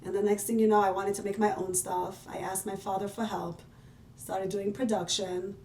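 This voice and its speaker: A woman's voice: medium pitch, level tone, normal speed, a little mellow, a little hiss or hum. A woman from Israel, a podcast guest, talking in a chatty, self-deprecating way and laughing easily. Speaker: podcast guest, business owner. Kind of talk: casual conversational interview. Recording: roomy and distant from the mic.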